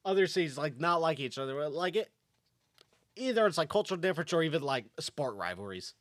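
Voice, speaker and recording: A man's voice: fairly high pitch, animated, a little fast, fairly bright tone. A young man from Kosovo chatting casually on a podcast, rambling with lots of fillers and restarts. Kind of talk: casual chat.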